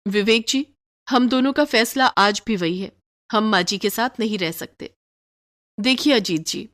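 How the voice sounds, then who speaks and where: A woman as snooty young rich wife, highish voice, indignant, complaining, spoiled, cartoon drama. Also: fairly bright tone, natural voice.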